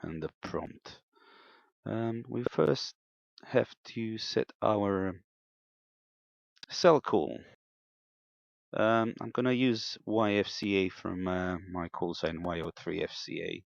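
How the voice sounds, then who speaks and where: A man giving an unscripted, step-by-step technical demonstration in a hesitant, halting way, in a slightly muffled room recording. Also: lowish voice, vocal fry, no room sound. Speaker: amateur radio operator demonstrating equipment.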